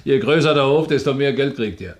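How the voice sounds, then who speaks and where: An actor playing a chatty, awkward older farm manager with a folksy, rambling way of talking in a radio crime drama. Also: male voice, mid-range voice, expressive, brisk, natural voice. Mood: grumbling. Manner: rambling, folksy, often quotes his wife.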